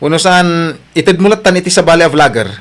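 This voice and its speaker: A man's voice: on the high side, lively intonation, quick pace, fairly bright tone. A man with an Ilocano Filipino accent playing an arrogant, newly rich vlogger who talks brashly and dismissively in an audio drama.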